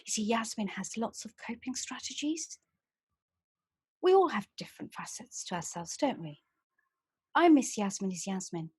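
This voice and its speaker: A woman playing a calm, protective female inner voice who speaks softly and soothingly in a solo stage monologue. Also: fairly high pitch, breathy edge, no room sound, studio quality, full character voice. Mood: wistful. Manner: soft, soothing, confiding.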